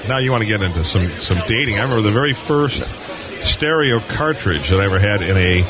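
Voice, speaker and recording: A man's voice: lowish voice, lively intonation, fast talker, over music. A middle-aged or older man hosting a casual live radio interview, chatting and reminiscing. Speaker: radio show host. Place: convention hall booth. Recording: noisy live event floor broadcast. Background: busy convention floor noise.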